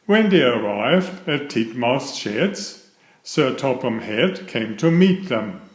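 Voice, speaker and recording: A man's voice: medium pitch, sing-song, slow, reverberant. A man narrating a children's story, reading aloud from a script.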